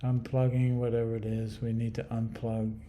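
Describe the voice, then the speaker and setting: A man leading a guided meditation in a calm, hushed, soothing manner with long pauses. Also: mid-range voice, narrow, tinny audio. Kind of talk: guided body scan meditation.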